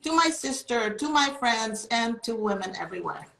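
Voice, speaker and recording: A woman's voice: on the high side, animated, moderate pace, gravelly, pushed and bright, reverberant, rough recording. A woman in her fifties whose first language is Filipino, a beauty chemist telling her story on a video-call panel. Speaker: beauty chemist, brand co-founder. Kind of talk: panel discussion.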